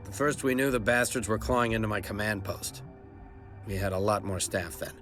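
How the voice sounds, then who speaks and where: Guy playing a tired gruff security captain in a game, kinda deep, talks plain, bit of music behind. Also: brisk, a bit bright, close to an everyday voice. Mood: wary, weary. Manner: gruff, plain-spoken, colloquial. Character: weary male security captain at a besieged research outpost. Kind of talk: video game cutscene acting.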